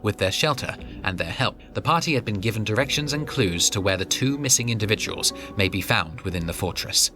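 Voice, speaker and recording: Male narrator, lowish voice, steady scripted fantasy storytelling over music. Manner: measured, descriptive storytelling. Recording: background music.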